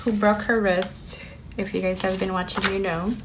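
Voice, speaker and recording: A woman's voice: medium pitch, normal speed. A woman whose first language is Spanish, chatting casually and rambling with many fillers as she decorates a planner. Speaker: planner vlogger. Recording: steady background noise with paper crinkling. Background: sticker and paper crinkling.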